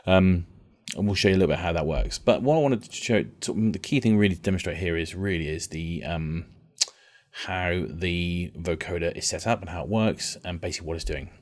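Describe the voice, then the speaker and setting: A man teaching music technology in an informal software tutorial, explaining step by step and restating key points as he goes. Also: deep voice, fairly quick.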